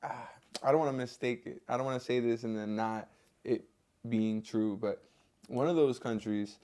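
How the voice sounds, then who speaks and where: Mellow smooth-voiced podcast host. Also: male voice, medium pitch, moderate pace. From Venezuela. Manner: relaxed, reflective, asks questions. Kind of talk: casual conversation.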